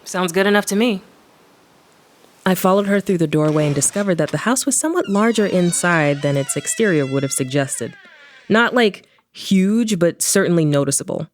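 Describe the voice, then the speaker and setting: A woman's voice: lowish voice, animated, moderate pace, faint music behind, lightly acted. A woman playing a wry, irreverent adventurer, narrating her story in the first person in a casual, sardonic way for an audio drama. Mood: wry, observant. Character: wry, irreverent female adventurer and occult investigator.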